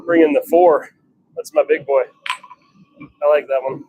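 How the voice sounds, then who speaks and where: Gravelly, higher-pitched man grumbling casually. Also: fairly even intonation, normal speed, darkish tone, low-quality recording. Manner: self-deprecating, grumbling, offhand. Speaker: livestream host and hobby blacksmith. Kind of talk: casual live chat while working. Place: home forge workshop.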